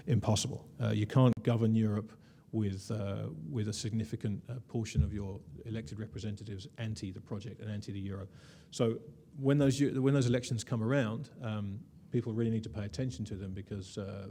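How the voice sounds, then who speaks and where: A man's voice: lowish voice, dark tone. A man presenting slides at a conference, wry and conversational, with frequent "uh" fillers. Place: conference room.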